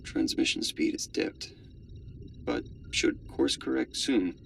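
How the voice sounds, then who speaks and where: An actor playing a calm, dutiful astronaut crewmate in a cinematic sci-fi game, speaking in an understated, matter-of-fact way. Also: male voice, mid-range voice, slow, gravelly, slight background noise, full character voice. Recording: music behind the voice.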